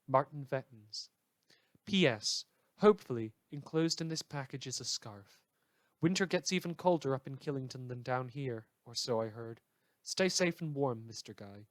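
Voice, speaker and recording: A man's voice: a little high, no room sound. A man playing a sardonic villain who hosts a post-apocalyptic survivalist radio show, with a theatrical, sarcastic delivery. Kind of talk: fiction podcast as in-character radio monologue.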